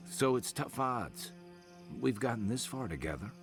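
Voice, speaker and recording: A man acting a level-headed adventuring companion in a video game, speaking in a measured, calm manner. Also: medium pitch, music in the background. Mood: measured concern. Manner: measured, weighing risks calmly.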